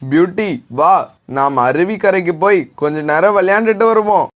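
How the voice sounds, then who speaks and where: A man's voice: high-pitched, normal speed, close to an everyday voice. A man voicing a sly, cheeky, boastful young male bird in a children's cartoon. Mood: sly, coaxing.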